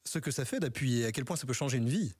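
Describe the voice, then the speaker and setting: A man hosting a morning radio show, upbeat and brisk. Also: mid-range voice, lively intonation, darkish tone, slightly rough, no echo, studio quality. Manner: upbeat, brisk announcer delivery. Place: radio studio.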